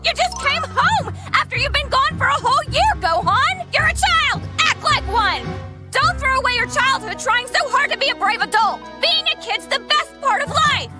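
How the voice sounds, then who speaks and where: A woman's voice: very high voice, animated, normal speed, loud music behind the voice. An actress playing a fierce, overprotective mother in a dubbed anime, scolding emphatically. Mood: desperate, scolding. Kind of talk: English-dubbed anime acting.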